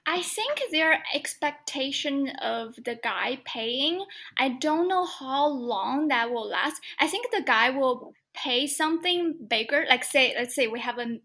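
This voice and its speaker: A woman from China speaking as a podcast guest, measured and tentative, often repeating words. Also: sits high, animated, bright, forceful tone. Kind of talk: casual podcast discussion.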